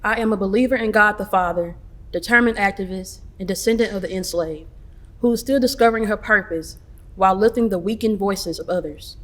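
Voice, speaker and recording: Woman, student speaker, scripted monologue, medium pitch. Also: a little fast.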